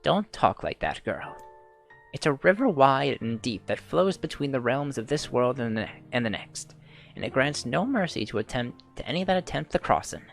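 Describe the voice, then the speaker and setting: A woman's voice: very deep voice, sing-song, normal speed, faint music behind, voice pushed up, heavily acted. A man doing a fierce foul-mouthed old grandmother. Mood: stern. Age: older. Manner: rough, scolding, loud threats.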